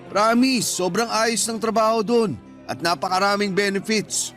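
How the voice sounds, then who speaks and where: A man's voice: high-pitched, moderate pace, fairly bright tone. A man playing a resentful breadwinner brother in his thirties in a Filipino radio drama, with a Filipino accent and an emotional, wounded delivery. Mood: coaxing. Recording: music bed under the voice.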